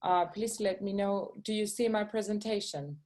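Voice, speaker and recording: A woman presents her design work to schoolchildren over a video call. She sounds eager, pausing on fillers and checking in with "okay?" as she goes. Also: medium pitch, darkish tone. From Venezuela. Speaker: guest speaker, shoe designer.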